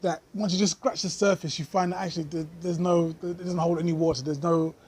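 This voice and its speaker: Husky-voiced man, earnest vlog commentary. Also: on the high side, fairly even intonation, a little mellow. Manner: earnest, thoughtful, with frequent pauses and fillers. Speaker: vlogger. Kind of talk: unscripted commentary to camera.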